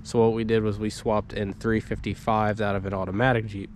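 A man's voice: on the low side, brisk, slight background noise. Stuffy-nosed young man with a cold. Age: young adult. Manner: casual, relaxed, with frequent ums and likes. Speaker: vlogger. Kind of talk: casual vlog walkthrough. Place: inside a parked vehicle, then outdoors beside it.

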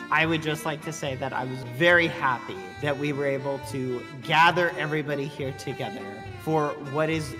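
A man delivering a theatrical roleplay speech in a grandiose, announcing manner. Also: on the high side.

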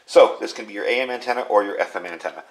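A man's voice: lowish voice, rapid-fire. A middle-aged man with an American accent, giving an informal step-by-step product demonstration from behind the camera at a workbench. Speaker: radio gear reviewer, video host. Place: indoors at a workbench in a home workshop.

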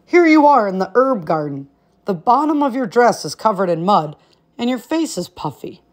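A woman's voice: mid-range voice, sing-song, normal speed, darkish tone, close to an everyday voice. Woman to play a talking frog in a fairy tale, male, sly and wheedling, always needling.

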